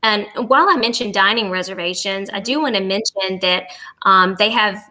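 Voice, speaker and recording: A woman's voice: medium pitch, brisk, pushed and bright, low-quality recording. A woman giving a casual, conversational travel review as a podcast guest, chatty and agreeable with emphatic affirmations.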